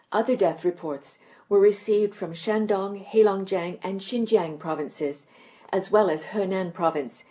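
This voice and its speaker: A woman news anchor reads her script in an even, measured way. Her voice sits a little low and sounds mellow and warm.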